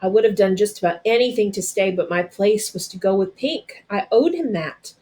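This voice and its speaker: A woman narrating a storybook aloud as a storyteller, with dramatic, deliberate phrasing. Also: medium pitch, mellow.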